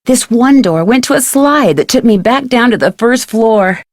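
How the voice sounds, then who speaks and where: A young amateur detective heroine from an adventure game. Her voice is on the high side and very animated, with a self-assured, inquisitive, conversational delivery. The sound is dry and studio-close. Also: normal speed, no room sound. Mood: intrigued, chatty. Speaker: game protagonist and tutorial guide. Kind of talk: scripted adventure game dialogue and narration.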